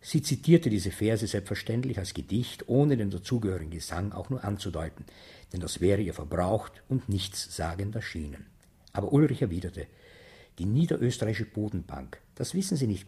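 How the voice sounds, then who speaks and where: A man reading a novel aloud as literary narration, with a husky voice. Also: fairly low pitch, mellow. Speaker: reader of a novel.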